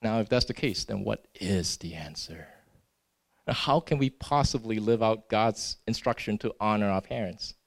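A man's voice: fairly low pitch, moderate pace, creaky. A man from Singapore preaching a sermon in an earnest way. He often tags his points with "right?" and restarts his words.

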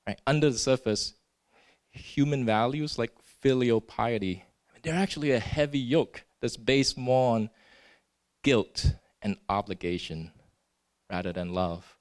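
A man's voice: mid-range voice, measured pace. A man from Singapore preaching a sermon in an earnest way. He often tags his points with "right?" and restarts his words.

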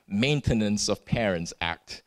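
A man's voice: on the low side, animated, a little slow. A man from Singapore preaching a sermon in an earnest way. He often tags his points with "right?" and restarts his words.

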